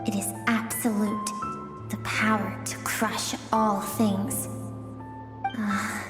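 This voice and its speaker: A woman playing a wistful, mysterious guide in a video game, telling stories in a gentle, confiding manner. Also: mid-range voice, little pitch movement, natural voice. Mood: confiding, awed. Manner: gentle, unhurried, confiding storytelling. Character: wistful, mysterious female guide through another's memories. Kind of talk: dramatic game narration. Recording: echoey long reverb, background music.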